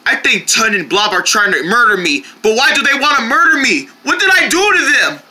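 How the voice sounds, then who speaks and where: A performer playing an excitable, easily frightened homeowner, exclaiming dramatically in an improvised monster story. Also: medium pitch, a little fast, full character voice. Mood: panicked. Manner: exclaiming, dramatic, talking to self. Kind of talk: improvised play-acted story.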